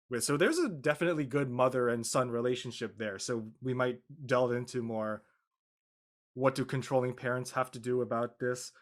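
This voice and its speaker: A man with a medium-pitched voice speaks casually as an actor on a discussion panel. His phrasing is halting, and he often corrects himself mid-sentence.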